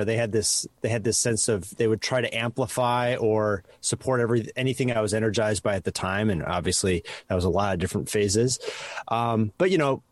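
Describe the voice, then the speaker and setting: A man's voice: on the low side, no echo. A man with a Midwestern American accent, reflecting on his upbringing as a podcast guest in an easygoing way. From American Midwest (Chicago, Wisconsin). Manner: easygoing, reflective, many "you know"s. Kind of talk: casual interview chat.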